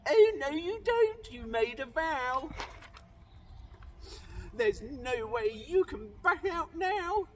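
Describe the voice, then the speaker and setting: Man doing a shrill, pushy ugly stepsister. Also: big pitch swings, slow, long pauses, fairly bright tone, in a large hall, exaggerated voice. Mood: insistent. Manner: screaming, gloating, insistent. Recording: distant microphone, noisy background.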